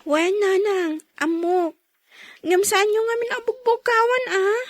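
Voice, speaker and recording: A woman's voice: extremely high pitch, steady tone, a little slow, dry recording, clean studio sound. A woman playing a dutiful eldest daughter in a radio drama, speaking earnestly and pleadingly to her family. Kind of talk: radio drama acting in Ilocano.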